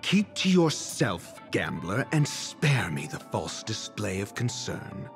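A stern, haughty scholar with a low voice that moves expressively. He talks slowly and disdainfully, articulating each point like a video game character, with music behind. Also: big pitch swings, vocal fry, heavily acted. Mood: exasperated, dismissive. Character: stern, haughty male scholar, coolly exasperated. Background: background music.

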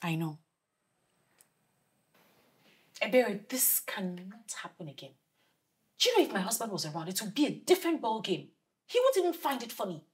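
Stern, indignant mum for a screen drama, husky edge, lively swings in pitch. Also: female voice, brisk. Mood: stern, exasperated. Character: stern, indignant mother scolding her younger sister. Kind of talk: film drama acting.

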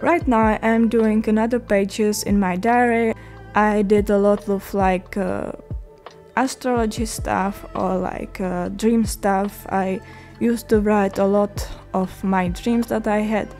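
A young woman with a Czech accent narrates slowly, pausing often and restarting her sentences. Her voice is mellow and soft at an ordinary pitch. Faint music plays underneath. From Czech Republic. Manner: halting, many fillers and restarts. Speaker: art vlogger. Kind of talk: voice-over narration.

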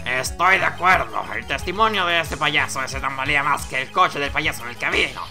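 A performer voicing a stern but befuddled old male judge in a game, solemn and deliberate. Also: mid-range voice, sharp tone, faint music behind. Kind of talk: video game dialogue read aloud with character voices.

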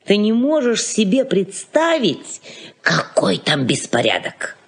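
A fussy old aunt in a Soviet radio play. Her intonation is very lively, moving between gushing hospitality and nagging, always in charge. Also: medium pitch, slow pace, gravelly, breathy edge, full character voice. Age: older. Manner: effusive, nagging.

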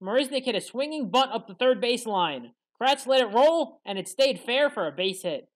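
A woman giving scripted play-by-play commentary over sports highlights as a voice-over. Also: expressive, normal speed.

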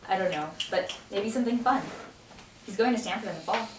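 A woman's voice: mid-range voice, fairly quick, natural voice. Young woman as wistful teenage girl, noisy room. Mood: playful. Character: Maya, wistful teenage girl before prom. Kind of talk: table-read acting, naturalistic dialogue. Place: home dining room, around a table. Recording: roomy, distant camera mic, loud noise.

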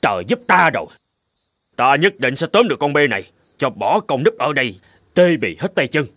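A man voicing a boastful but cowardly tiger king in an animated folk tale, theatrical and easily panicked. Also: medium pitch, moderate pace, noisy background. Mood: puzzled, anxious.

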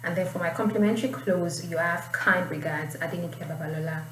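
A woman's voice: on the low side, fairly quick, fairly bright tone. A young adult woman with a Nigerian accent, teaching in an informal tutorial style. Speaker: IELTS writing teacher. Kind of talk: informal tutorial, explaining and reading aloud. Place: small indoor room. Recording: roomy, distant mic, faint noise.

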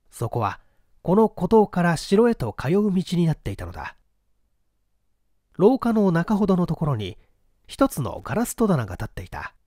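A man narrating a novel in a measured, theatrical storytelling manner. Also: fairly high pitch, darkish tone, no room sound, clean studio sound. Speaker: audiobook narrator. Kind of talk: dramatic literary novel reading.

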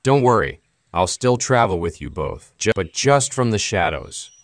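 A man's voice: lowish voice, sing-song, moderate pace, dry recording. A man voicing a strong, confident young hero in a cartoon fairy tale.

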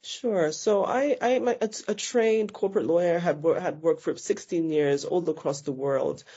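A woman's voice: on the low side, moderate pace, mellow, slightly rough, muffled recording. A woman from Zambia, a business founder answering interview questions thoughtfully and often restarting her sentences. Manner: thoughtful, self-correcting, with frequent restarts.

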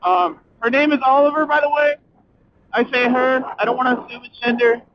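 Young man, very high voice, excitable, profane slangy rambling, motovlog chat, muffled helmet intercom with road noise. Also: fairly quick. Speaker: motorcycle vlogger. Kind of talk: casual rambling chat while riding. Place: outdoors, riding a motorcycle at night. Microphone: helmet communicator mic inside helmet.

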